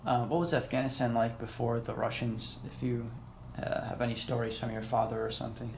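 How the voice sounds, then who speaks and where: Flat, gravelly male interviewer. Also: medium pitch, brisk, slight background noise. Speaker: interviewer, host. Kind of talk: casual interview questions.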